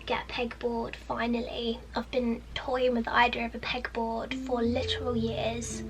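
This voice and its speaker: A young woman with a sniffly head cold chats in a soft, half-whispered ramble as she tidies her small home art studio. Her voice sits a little high and has a gravelly edge. Music plays clearly behind her. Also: monotone, normal speed, low-quality recording. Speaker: artist vlogger. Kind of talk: casual studio vlog chat.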